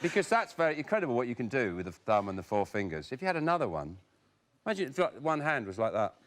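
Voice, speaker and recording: A man's voice: mid-range voice, sing-song, fast talker. A man in his fifties, a chat show guest, telling rambling, dry, self-mocking stories. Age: 50s. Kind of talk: rambling casual storytelling. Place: TV studio with live audience. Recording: loud studio audience, heavy noise.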